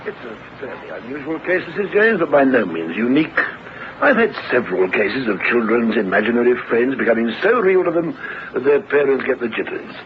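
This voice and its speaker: Reassuring family doctor, expressive, acted by a man. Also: medium pitch, lightly acted. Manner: measured explaining, professional. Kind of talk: radio drama dialogue. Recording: dull lo-fi radio recording, faint noise.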